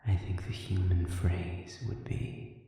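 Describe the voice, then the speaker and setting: Slow, low, echoing male demon acted by a man. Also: monotone, dark tone. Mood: cool, accusing. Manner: measured, deliberate, insinuating, with rhetorical questions and pauses. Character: sadistic demon prisoner, calm, manipulative, silkily taunting male. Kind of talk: scripted audio roleplay monologue. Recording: distant, reverberant, echoing chamber sound.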